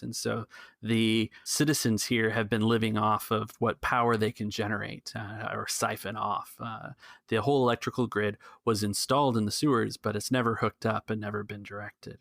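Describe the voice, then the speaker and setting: A man playing an earnest low-voiced cantina keeper. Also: creaky, fairly bright tone, no echo, studio quality. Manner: halting, earnest, persuasive. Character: earnest cantina keeper rallying rebels against the Empire.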